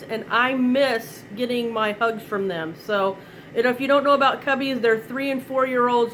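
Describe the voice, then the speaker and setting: A woman's voice: on the high side, fairly bright tone, a little hiss or hum, low-quality recording. A middle-aged woman with a slight head cold, rambling chattily through a live online product demo from her kitchen as a direct-sales consultant. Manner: chatty and rambling, chuckles often.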